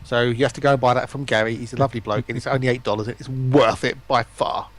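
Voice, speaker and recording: A man's voice: mid-range voice, steady tone, fast talker. A man joining a live stream as a guest, chatting in casual banter.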